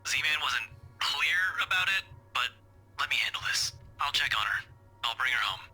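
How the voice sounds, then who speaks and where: An actor playing a blunt, protective young policeman in a visual novel game, teasing and reassuring in a husky, breathy voice. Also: mid-range voice, lively intonation, moderate pace, bright, forceful tone, faint music behind, exaggerated voice, poor audio.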